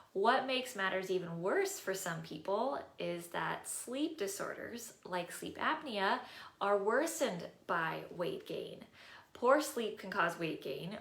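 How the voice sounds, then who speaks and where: A woman's voice: medium pitch, a bit bright. Slightly nasal woman teaching a health class. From California. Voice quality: a little nasal. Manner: friendly, upbeat, laughs at herself. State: nosebleed, tissue in one nostril. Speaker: registered dietitian and personal trainer teaching a class. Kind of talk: live-streamed health class. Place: indoor room, streaming live. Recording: echoey room.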